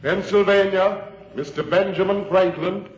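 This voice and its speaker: An actor playing a formal congressional clerk, calling out names ceremonially in 1940s radio drama style. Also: male voice, sits high, steady tone, a little slow. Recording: muffled old radio, echoing hall, noise.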